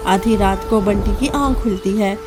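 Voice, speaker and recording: A woman's voice: medium pitch, moderate pace, music in the background. A woman giving scripted narration for a children's cartoon story.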